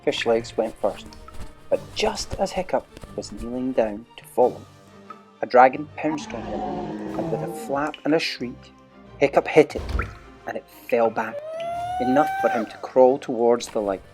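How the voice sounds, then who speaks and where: A man's voice: mid-range voice, expressive, unhurried, music in the background. A man narrating an adventure storybook dramatically as part of an audio play.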